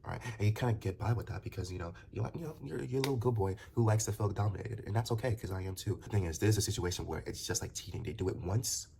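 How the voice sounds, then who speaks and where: A man's voice: low-pitched, fast, creaky, hardly any pauses, noisy background. A young man vlogging to camera in a casual, rambling way. He stammers and restarts often, leans on fillers, and turns heated and emphatic as he argues his point. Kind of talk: casual talking-to-camera commentary.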